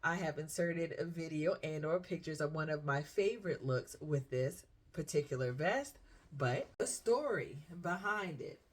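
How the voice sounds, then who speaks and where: A woman's voice: low-pitched, expressive, moderate pace. A middle-aged woman with a Southern US accent, chatting casually as a fashion vlogger and acting out her thoughts as she tells stories. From South Carolina, United States.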